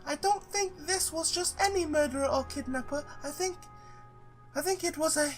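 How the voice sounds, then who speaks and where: Worried female client for a visual novel, voiced by a man, high falsetto, grateful and earnest, over clear music. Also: normal speed. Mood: anxious, grateful. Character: worried, grateful woman client pleading with a detective.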